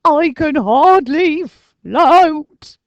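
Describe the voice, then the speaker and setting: A woman voicing a small ghost in a storybook, with a slow, drawn-out, moaning delivery. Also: sits high, expressive, dry recording, dull audio, pitched above the performer's own voice, heavily acted. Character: droopy moaning little ghost.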